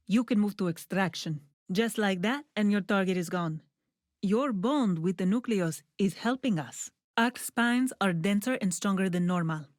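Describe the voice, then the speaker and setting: A woman playing a composed female scientist who guides a mission in a video game, giving measured, businesslike instructions. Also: mid-range voice, no echo, studio quality, lightly acted. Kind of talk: scripted video game voice lines. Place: dry studio.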